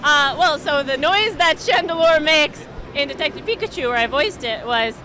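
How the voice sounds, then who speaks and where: A high, bright-toned woman from the United States talks about her voice acting work at a gaming festival. Her pitch swings widely as she laughs often and slips into character voices. A faint crowd murmurs behind her. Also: lively intonation, moderate pace, a little hiss or hum. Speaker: voice actor guest. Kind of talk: casual interview chat.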